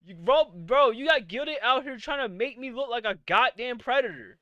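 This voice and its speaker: Male host, late 20s, high crisp voice, dry close mic, profane exasperated streamer rant. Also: lively intonation, normal speed, pushed and bright, no room sound, dull audio. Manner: exasperated, profane, rhetorical questions. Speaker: podcast host. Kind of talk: live-streamed casual rant.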